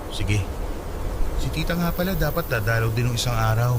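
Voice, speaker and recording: A man's voice: medium pitch, lively intonation, brisk, very noisy, lightly acted. An actor playing a caring, reassuring young male partner in a radio drama, speaking in a coaxing way. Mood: gently attentive. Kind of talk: Tagalog radio drama dialogue. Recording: loud music bed under the voice. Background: loud background music.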